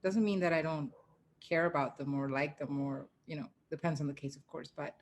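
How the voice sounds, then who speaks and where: A woman with a low voice chats casually as a podcast co-host. There is faint noise behind her conversational delivery. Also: brisk. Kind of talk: casual conversational panel chat.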